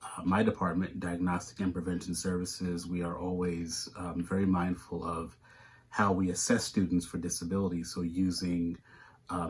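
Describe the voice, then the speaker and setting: A man with a very deep, flat voice explains district practices as a school psychologist. He stays steady and formal, with a faint hiss behind him. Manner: steady, formal and matter-of-fact. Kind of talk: recorded interview answer.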